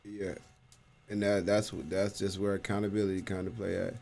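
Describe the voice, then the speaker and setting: Low-voiced laid-back male co-host. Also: flat delivery, brisk, vocal fry, a little mellow. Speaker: podcast co-host. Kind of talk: casual podcast chat.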